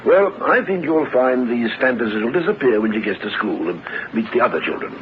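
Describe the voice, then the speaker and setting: An actor playing a calm, kindly family doctor in a radio drama, explaining in a professional way. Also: male voice, medium pitch, brisk, lightly acted. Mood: reassuring. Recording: dull lo-fi radio recording, faint noise.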